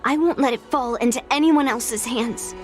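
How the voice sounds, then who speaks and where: A woman's voice: fairly high pitch, moderate pace, soft background music, lightly acted. A woman playing a serious, headstrong young swordswoman in a video game, speaking with defiant determination. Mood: defiant resolve. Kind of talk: video game character acting.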